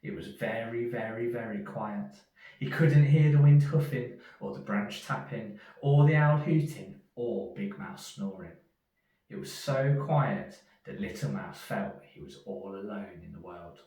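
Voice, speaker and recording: A man reading a children's story aloud in an expressive storyteller's manner. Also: lowish voice, a little slow. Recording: echoey room, distant from mic.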